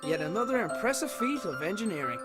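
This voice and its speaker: Lively-pitched, pondering young father. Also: quick pace. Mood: pensive. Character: curious young father searching for his missing dad. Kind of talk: reflective scripted game narration in past tense. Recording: voice under loud music. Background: loud game music.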